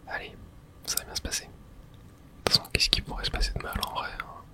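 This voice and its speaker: A gamer speaks in a breathy, unvoiced whisper with a bright, crisp edge. They narrate their play in an ASMR style, murmuring small reactions and mild curses as they go. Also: fast. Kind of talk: whispered ASMR video game let's play.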